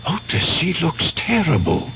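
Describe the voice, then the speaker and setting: An earnest, concerned doctor in an old radio drama. His fairly high voice moves up and down with urgency, on a muffled broadcast with faint hiss. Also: huge pitch swings, unhurried, fairly bright tone, exaggerated voice. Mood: urgent, concerned. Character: earnest, concerned male doctor recounting and pleading. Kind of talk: 1970s radio drama acting.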